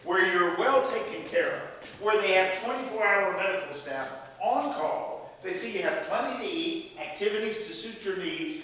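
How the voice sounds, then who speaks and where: A man's voice: high-pitched, lively intonation, pushed and bright. An actor playing an exasperated, dutiful middle-aged son, arguing defensively with his father in a stage play. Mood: incredulous. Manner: exasperated, halting when defensive. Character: aggrieved, dutiful grown son of elderly father. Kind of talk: one-act stage play dialogue. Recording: echoey hall from far off, faint noise.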